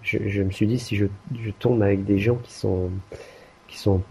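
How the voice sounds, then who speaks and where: A man's voice: on the low side, fairly even intonation, moderate pace, mellow, a little hiss or hum, low-quality recording. A man in casual conversation who talks hesitantly, with many restarts and fillers. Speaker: language learner.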